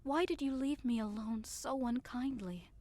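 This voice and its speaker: A woman's voice: a little high, measured pace, mellow, dry recording. A woman playing a bewildered, abandoned young woman in love in a modern-English Shakespeare audio drama, plaintive and disbelieving.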